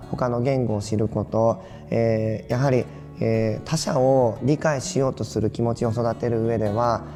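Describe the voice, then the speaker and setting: A man's voice: medium pitch, mellow. A man, a teacher, giving a formal interview answer with many hesitation fillers. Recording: reverberant room.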